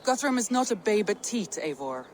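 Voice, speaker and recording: An actress playing a confident, loyal Viking woman commander who speaks in an assured, steady way, in a video game. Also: mid-range voice, moderate pace, a little hiss or hum, natural voice.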